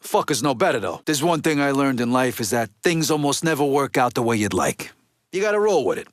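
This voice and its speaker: A man's voice: mid-range voice, very animated, fairly quick, no echo, studio quality, natural voice. A man playing a tough, resentful middle-aged Italian-American mobster in a video game, with an East Coast city American accent and a blunt, streetwise way of talking. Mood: businesslike. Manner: blunt, profane, streetwise wiseguy talk. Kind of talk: video game character dialogue.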